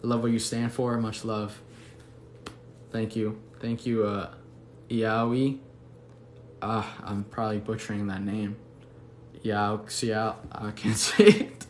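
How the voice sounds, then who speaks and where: A man's voice: on the low side, little pitch movement, slow. A young man from Mexico City chatting casually on a livestream, laid-back, slangy and affectionate as he answers viewers. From Mexico City, Mexico, later the US. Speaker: livestream host. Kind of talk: casual livestream chat reading viewer comments. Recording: echoey room, faint background noise.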